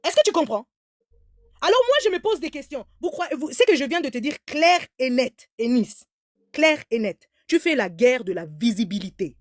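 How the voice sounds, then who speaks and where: Emphatic high-voiced woman commentator. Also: sing-song, moderate pace, dry recording, studio quality. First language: German. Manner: emphatic, repeats words, stammers on restarts. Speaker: online commentator. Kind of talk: heated live commentary.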